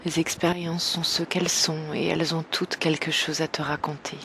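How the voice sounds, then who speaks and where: Soft, breathy woman guiding meditation. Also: sits low, little pitch movement, a little hiss or hum. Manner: slow, gentle, soft-spoken with long pauses. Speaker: meditation guide. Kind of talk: guided evening meditation, yoga nidra.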